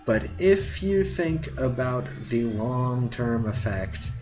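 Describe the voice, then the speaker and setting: Male reader for religious principles: unhurried, deliberate short phrases, mid-pitched, on a muffled lo-fi track. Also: lively intonation. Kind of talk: slow read-aloud of religious teachings with brief asides. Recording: dull lo-fi, faint music behind.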